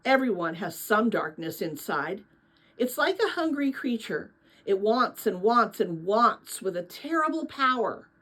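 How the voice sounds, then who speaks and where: A woman's voice: medium pitch, sing-song, a little slow, a bit bright, rough recording. A woman voicing a kindly, thoughtful adult woman in a storybook, earnest and reflective, with sadness and hope. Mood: sad, solemn.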